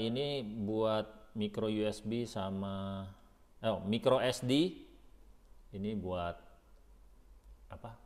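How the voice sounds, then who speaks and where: Deep-voiced man doing a casual unboxing. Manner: hesitant, thinking aloud, informal. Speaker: product reviewer. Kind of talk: casual unboxing vlog, unscripted.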